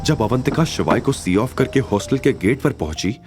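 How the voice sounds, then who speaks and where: A man's voice: medium pitch, lively intonation, normal speed. A man narrating an audio drama series in a dramatic, scripted storytelling style. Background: background music.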